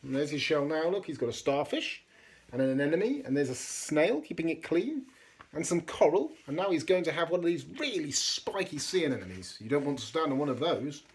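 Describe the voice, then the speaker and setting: Animated man reading a children's storybook. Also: on the high side. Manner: dramatic pauses and emphasis for young listeners. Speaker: storybook reader. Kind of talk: expressive children's read-aloud with chatty asides.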